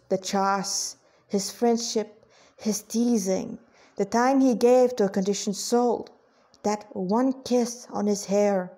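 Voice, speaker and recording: Woman, amateur story narration, chatty asides, stumbling read, medium pitch. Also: mellow. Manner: stumbles over words and rereads phrases. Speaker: fan fiction author reading their story aloud.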